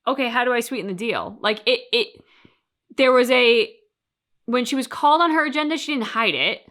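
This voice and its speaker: A woman's voice: a little high, a little fast, fairly bright tone. A woman co-hosting a reaction channel, talking through her thoughts casually and emphatically, with plenty of colloquial turns of phrase.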